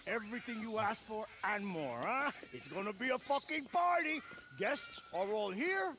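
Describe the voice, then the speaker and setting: Swooping high-voiced hedonistic party host. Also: male voice, very animated, normal speed, background noise. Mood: hyped, boastful. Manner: effusive, swaggering, ends phrases with "huh". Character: volatile, hedonistic cartel-heir party host, jovial yet menacing. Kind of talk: video game cutscene acting.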